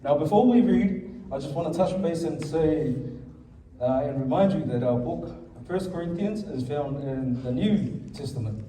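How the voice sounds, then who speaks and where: A man with a Samoan accent delivers a sermon to his congregation, moving between Samoan and English. His voice sits a little high but sounds deep and mellow, with no edge to it. He is picked up from some way off in a reverberant church, with faint room hum behind him. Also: animated, normal speed. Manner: switches between Samoan and English, calls for amens. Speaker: church elder preaching. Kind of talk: bilingual sermon. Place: church hall. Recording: echoey church from a distance.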